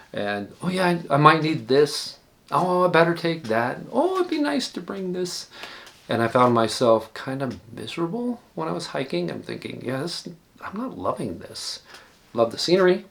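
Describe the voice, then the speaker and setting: A man in his sixties giving casual, rambling hiking advice, often pausing and correcting himself. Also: mid-range voice, vocal fry. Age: 60s. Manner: relaxed, rambling, self-correcting. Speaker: hiking vlogger.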